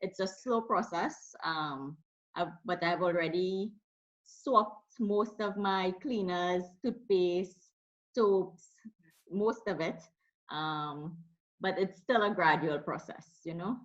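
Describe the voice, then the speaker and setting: A woman's voice: on the low side, slow pace. Woman from Trinidad, dull lo-fi sound. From Trinidad and Tobago. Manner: friendly, chatty storyteller. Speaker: guest. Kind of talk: casual interview chat.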